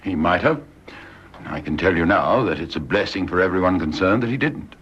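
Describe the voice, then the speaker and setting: A man's voice: low-pitched, expressive, fairly quick, fairly bright tone, slightly rough, exaggerated voice. A man with a British accent playing a brusque, practical family doctor in a radio drama, dismissive and matter-of-fact. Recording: dull, muffled radio drama recording.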